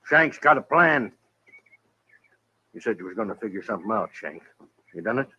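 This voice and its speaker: A man acting a blustering, crooked American rancher in a radio Western, with a raspy voice and folksy drawl. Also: on the low side, big pitch swings, normal speed, bright, forceful tone, heavily acted. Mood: gloating, impatient. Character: blustering, crooked hotel-owning rancher in the Old West. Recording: muffled old radio recording.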